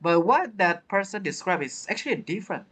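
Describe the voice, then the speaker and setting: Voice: medium pitch, sing-song, brisk, a bit bright. A streamer giving casual, dramatic gameplay commentary, reacting to the story and often repeating phrases. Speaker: streamer playing a game. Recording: game music behind.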